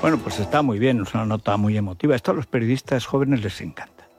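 A man's voice: on the low side, fast talker, over music. An older man with an accent from Spain giving an opinionated, colloquial radio commentary, indignant and full of rhetorical questions. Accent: Spanish from Spain.

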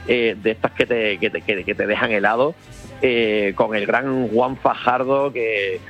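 A man's voice: fairly low pitch, steady tone, measured pace, highs cut off, soft background music. A man, a festival organizer talking enthusiastically in a casual radio interview, rambling with many restarts.

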